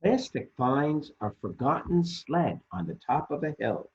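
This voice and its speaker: A man's voice: on the high side, expressive, measured pace, slightly rough, dull audio. A man hosting a children's library storytime, reading a picture book expressively and speaking directly to young listeners. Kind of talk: animated children's picture-book read-aloud.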